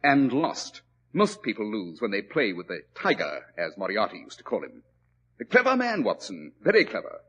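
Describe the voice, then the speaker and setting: An actor playing a brilliant, composed male detective in a 1940s radio drama, speaking in a dry, measured, teasing way. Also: lowish voice, huge pitch swings, fairly bright tone, slightly rough, heavily acted. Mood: grave. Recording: old lo-fi radio broadcast.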